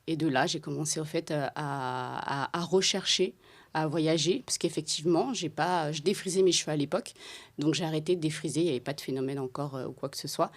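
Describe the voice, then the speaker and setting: A woman's voice: sits low, brisk. A woman from Congo, a hair care brand founder, telling her story in a casual roundtable chat with frequent laughter. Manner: animated storytelling, laughs often.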